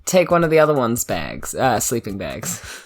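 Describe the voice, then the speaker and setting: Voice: mid-range voice, big pitch swings, moderate pace, faint music behind. A tabletop game player, joking casually and role-playing.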